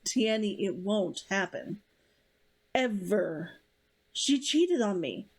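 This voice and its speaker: A woman's voice: mid-range voice, animated, slow pace, slightly rough, pitched below the performer's own voice, heavily acted. A woman reading the part of a brooding, guarded male lover in a novel, firm and intimate. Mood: bitter, firm.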